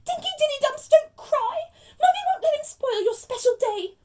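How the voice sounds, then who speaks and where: A woman voicing a sharp-tongued, fretful middle-aged aunt in a storybook, emphatic and shrill. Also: animated, normal speed, far from the mic, heavy background noise. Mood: cooing, anxious.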